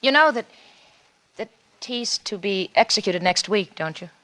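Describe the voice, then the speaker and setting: Bright-voiced American noir seductress. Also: female voice, medium pitch, animated, unhurried, dry recording. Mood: coy, calculating. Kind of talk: 1940s radio noir drama acting.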